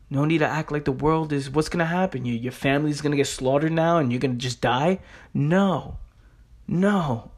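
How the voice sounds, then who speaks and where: Young man with a higher-pitched voice, talking bluntly. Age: young adult. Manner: emphatic, with frequent rhetorical questions; blunt, streetwise, swearing casually. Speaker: motivational speaker. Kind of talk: informal motivational monologue.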